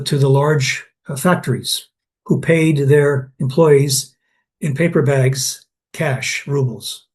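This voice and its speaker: A man's voice: medium pitch, darkish tone. An older man from Canada in an oral history interview, telling anecdotes with many restarts and fillers.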